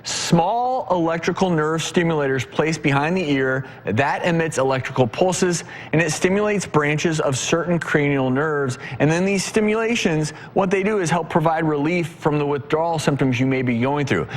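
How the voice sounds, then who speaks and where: A man hosting a health talk show, explaining things in a measured, presenterly way. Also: a little high, a little hiss or hum. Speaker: TV show host.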